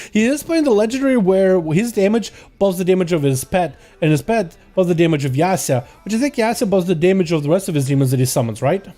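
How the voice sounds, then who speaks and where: Streamer caster, young male, slight Russian accent, high and mellow, excitable play-by-play, light music bed. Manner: fast excitable play-by-play with laughs. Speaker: streamer and game commentator. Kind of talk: live esports commentary.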